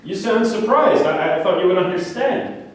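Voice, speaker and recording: A high-voiced, obsessive murderer acted by a man insists he was right, self-justifying and pleading. It is a staged play reading in a very echoey room, heard from a distance. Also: expressive, normal speed. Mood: agitated, defiant. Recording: very echoey room, distant mic, faint noise.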